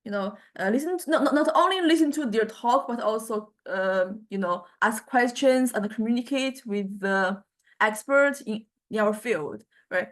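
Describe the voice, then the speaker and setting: A woman lecturing to a university class informally, speaking in halting phrases and often repeating words. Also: a little high.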